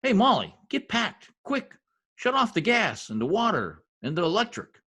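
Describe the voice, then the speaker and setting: A man acting a blustery middle-aged husband from an old-time radio comedy. His voice is husky and rather high, swinging widely as he exclaims in folksy slang. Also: wildly swooping pitch, moderate pace, bright, forceful tone, heavily acted. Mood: panicked.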